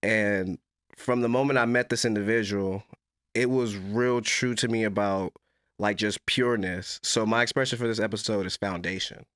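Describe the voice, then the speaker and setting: A man's voice: on the low side, steady tone, moderate pace, fairly bright tone, clear, resonant tone, dry recording, studio quality. A man hosting a casual interview podcast, giving upbeat hype-style introductions with some slang. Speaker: podcast host.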